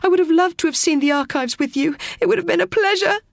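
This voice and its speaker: A woman voicing a frightened young girl in deadly danger, high and breathless. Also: fast talker, vocal fry, no room sound, clean studio sound. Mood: terrified.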